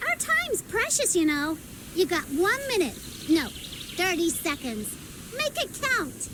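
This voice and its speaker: A woman's voice: very high voice, very animated, slow, background noise, full character voice. A woman voicing a brash, playful, boastful small girl companion in a video game. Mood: impatient, bossy. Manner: cheeky, bossy, teasing. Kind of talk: video game character voice acting.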